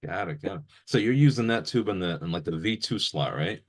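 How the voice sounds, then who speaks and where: Deep-voiced male interviewer. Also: animated, a little fast. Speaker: interviewer, show host. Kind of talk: casual interview chat.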